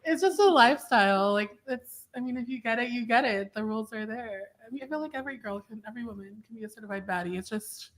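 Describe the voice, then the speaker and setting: A woman's voice: fairly high pitch, fast talker, few pauses, a little hiss or hum. A woman in her twenties answering interview questions in a breezy, vague way, trailing off into fillers. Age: 20s. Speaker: podcast guest.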